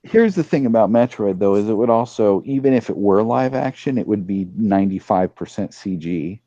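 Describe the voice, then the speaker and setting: A man talking casually as a panelist on a podcast, somewhat halting as he thinks aloud. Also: lowish voice, lively intonation, mellow, no room sound, lo-fi sound. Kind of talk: casual discussion.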